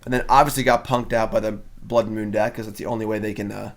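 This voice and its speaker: A man's voice: fairly low pitch, fairly even intonation, fast talker. Laid-back man commenting on his game. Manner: laid-back, chatty, wry asides and frequent fillers. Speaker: streamer playing card game. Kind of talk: casual live-stream commentary.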